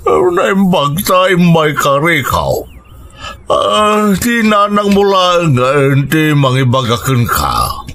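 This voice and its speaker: A man's voice: on the high side, sing-song, takes it slowly, fairly bright tone, slight background noise, full character voice. A man acting an encouraging father who advises his grown son in an Ilocano radio drama. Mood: advising.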